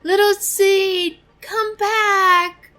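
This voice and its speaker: A woman's voice: very high-pitched, little pitch movement, extremely slow, bright, forceful tone, soft background music, voice pushed up, full character voice. A woman voicing a playful but timid young male fox in a children's storybook, eager and pleading. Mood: alarmed. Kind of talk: children's storybook read-aloud.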